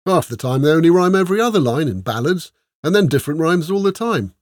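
A man voicing a chatty, excitable male thief who rambles eagerly in colloquial speech. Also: medium pitch, brisk, no echo, studio quality, voice pushed up, natural voice.

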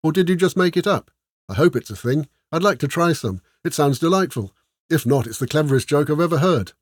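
A man's voice: sits high, huge pitch swings, brisk, dry recording, studio quality, voice pushed up. A man voicing hapless, sheepish soldiers in an audiobook, eager to placate. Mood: dreamy, cheeky.

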